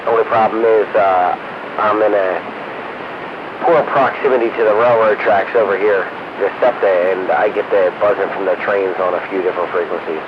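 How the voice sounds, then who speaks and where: Brief: male radio hobbyist, lower-pitched, casual and unhurried chat, muffled two-way transmission with light noise. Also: fairly even intonation. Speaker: radio operator.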